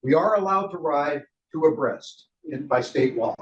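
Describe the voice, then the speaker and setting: An older man leading a casual cycling training session, speaking loudly and chatting with the group. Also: a little high, animated, normal speed. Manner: loud, chatty, laughs between points. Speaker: ride leader trainer. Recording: roomy, dull lo-fi room recording.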